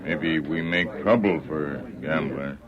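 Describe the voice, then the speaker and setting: A man's voice: rumbling low, takes it slowly. A man playing a loyal sidekick in an old radio western, speaking terse, clipped broken English. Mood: terse, steady. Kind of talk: 1940s radio western acting. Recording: muffled old radio, background crowd.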